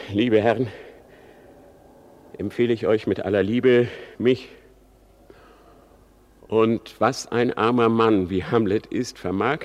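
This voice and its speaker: An actor playing a brooding, grieving prince in a classical radio play, declamatory and dropping into hushed tones. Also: male voice, fairly low pitch. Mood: solemn, courteous. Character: brooding grieving prince, adult man, solemn and troubled.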